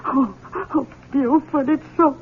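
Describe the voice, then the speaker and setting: A woman's voice: sits high, fairly even intonation, slow pace. An actress playing a desperate, pleading older mother in a radio drama, plaintive and wailing. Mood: anguished, pleading. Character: pleading, desperate elderly mother held captive. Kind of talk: 1970s radio drama acting. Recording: muffled, noisy old radio recording.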